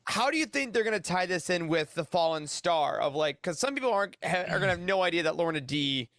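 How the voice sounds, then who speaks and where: Guy hosting a casual podcast roundtable, pretty high bright voice, really dry sound. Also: lively intonation, fast, no room sound. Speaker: podcast host.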